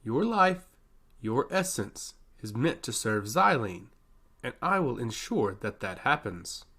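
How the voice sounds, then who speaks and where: A man's voice: medium pitch, very animated, slow, bright, forceful tone, heavily acted. A man voicing a harsh, solemn merman commander who looks down on his recruits in a fantasy audio story. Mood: cold contempt. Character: stern, contemptuous merman commander drilling recruits.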